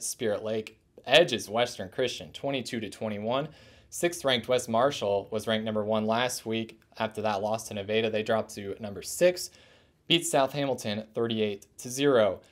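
A young man hosting a sports recap, reading out scores in a scripted news style. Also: on the low side. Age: young adult. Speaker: sports show host. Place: small indoor room, plain wall.

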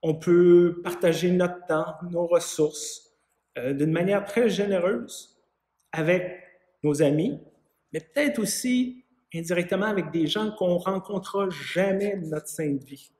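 A man in his fifties with a Quebec French accent, giving a sermon as a pastor in a measured, conversational way with some hesitant phrasing. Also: high voice, a little mellow. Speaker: parish pastor. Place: small vaulted room with an arched window, indoors. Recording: echoey room.

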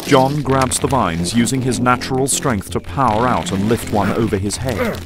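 A man's voice: mid-range voice, moderate pace, rough recording. A man reading scripted dramatic narration as the narrator of an audio drama. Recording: music bed under the voice. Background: music and effects.

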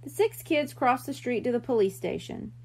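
High-pitched, very animated woman reading a story. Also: normal speed, husky. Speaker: library storyteller reading a children's book. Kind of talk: expressive scripted narration of a children's story.

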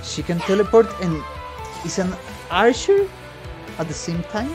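A man's voice: high-pitched, very animated, unhurried. A man giving casual, exclamatory, profanity-laced reaction commentary. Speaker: musician reacting to game trailers. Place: small room at home. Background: game trailer music and voices.

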